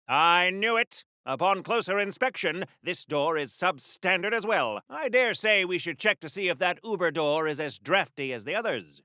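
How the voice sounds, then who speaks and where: An actor playing a pompous, chipper American superhero of the wartime era, in a campy, grandiose 1940s radio-serial style for a video game. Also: male voice, high voice, pushed and bright, dry recording, studio quality, exaggerated voice. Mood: fussy, exasperated. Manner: grandiose, old-fashioned, wordy, theatrical.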